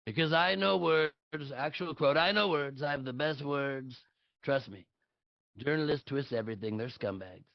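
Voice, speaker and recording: Casting: male actor as brash, bragging older politician for stage satire, gravelly, close and dry sound. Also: medium pitch, moderate pace, dry recording, lightly acted. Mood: scornful. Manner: boastful, blunt, repeats superlatives. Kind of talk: live table read of a satirical play. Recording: dull lo-fi audio.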